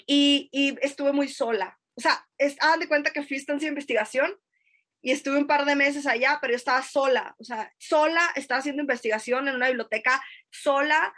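A high-pitched woman with a northern Mexican Sonoran accent, in her thirties. She talks casually on a podcast, pausing often and trailing into fillers and little tag questions as she recounts her experiences. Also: fairly bright tone. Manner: hesitant, many fillers and restarts, frequent tag questions. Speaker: podcast guest. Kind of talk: casual conversational storytelling.

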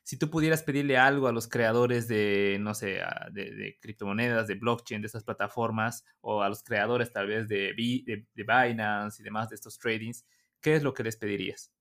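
Lower-pitched man hosting a podcast. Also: fairly even intonation, normal speed, a bit bright. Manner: hesitant, many restarts and repeated words. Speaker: podcast host. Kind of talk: casual interview.

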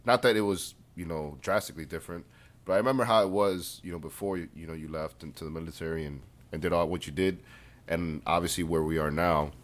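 A man's voice: sits low, fast. A man co-hosting a podcast, retelling a news story in casual chat, swearing freely and laughing often. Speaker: podcast co-host.

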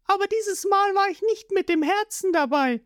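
Man doing a high, coy young thief woman. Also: moderate pace, a bit bright, no echo, clean studio sound. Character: sly young female thief navigator.

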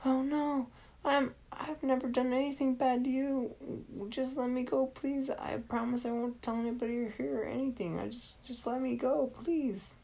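A woman's voice: fairly even intonation, moderate pace, gravelly, warm tone. A woman voicing a frightened little boy who pleads to be let go, in a bedtime story. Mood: pleading. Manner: high, pleading, breathless.

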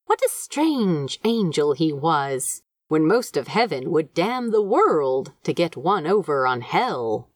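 Expressive woman narrator with lively pitch. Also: slow, hardly any pauses, no echo, studio quality. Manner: expressive, dramatic storytelling. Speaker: fan fiction audiobook narrator. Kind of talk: scripted story narration.

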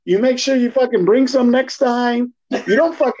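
High-voiced man ranting in slangy podcast chat. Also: moderate pace. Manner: animated ranting, profane, teasing. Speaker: podcast co-host. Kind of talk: casual, slangy movie chat. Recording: dull, lo-fi podcast audio.

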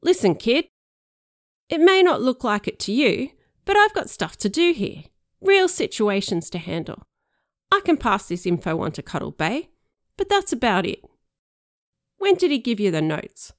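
A woman voicing a gruff, impatient male police officer with a gravelly, brusque, dismissive way of talking. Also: fairly high pitch, very animated, moderate pace, pauses often, dry recording, clean studio sound, pitched above the performer's own voice. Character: gruff impatient male cop, mocked up by a teen girl.

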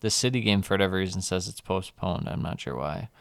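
A man with a low, smooth, resonant voice, recorded close and dry. He co-hosts a football podcast in a relaxed, chatty way, running through lineups and joking about player names. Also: fast talker, no echo. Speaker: podcast co-host. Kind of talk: casual football podcast chat.

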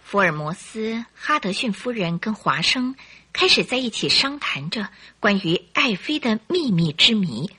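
A woman's voice: medium pitch, very animated, measured pace, bright, forceful tone, breathy edge, dry recording. A woman narrating a radio drama in a dramatic, scripted storytelling style.

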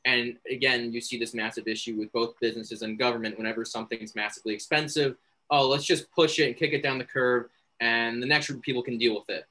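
A man's voice: medium pitch, fairly even intonation, fast talker, pushed and bright, rough recording. A young man co-hosting a radio talk show, giving opinions in an earnest, emphatic way. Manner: earnest, emphatic, repeats "again".

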